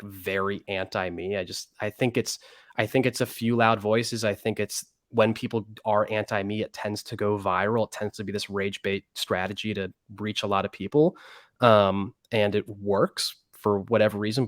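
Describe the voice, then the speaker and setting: A man talks through his answer in a low voice, circling back with likes and ums and restarting his sentences. The sound is dry and close, with no room around it. It sounds like casual conversation rather than a prepared speech. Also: no echo. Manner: rambling, many fillers and restarts. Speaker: interview guest.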